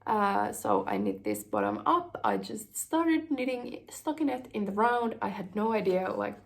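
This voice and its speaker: A young woman from Finland talks through her knitting in an easy, rambling way, with little fillers and soft laughs. Her voice still carries some roughness from a recent illness, with noticeable fry, and she speaks at a slow, unhurried pace in a mid-range pitch. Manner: relaxed, rambling, many fillers and small laughs. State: voice still recovering from a cold. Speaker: knitting podcast host. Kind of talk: casual chat to camera.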